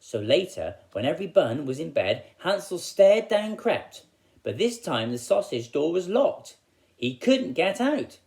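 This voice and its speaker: A man's voice: fairly high pitch, big pitch swings, measured pace, creaky, a little mellow. An English-accented man, a primary school teacher, speaking expressively to children as a storyteller.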